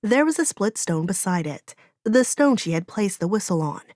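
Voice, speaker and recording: A woman's voice: medium pitch, lively intonation, normal speed, a little mellow, dry recording, clean studio sound. A woman reading a fantasy audiobook as narrator, in dramatic, solemn storytelling.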